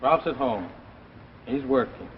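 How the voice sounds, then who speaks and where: Preoccupied scientist husband for 1950s radio drama male actor: muffled, mid-pitched, emphatic, halting, insistently placating. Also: animated, close to an everyday voice. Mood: impatient, instructing. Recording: muffled, noisy 1950s radio recording, reverberant.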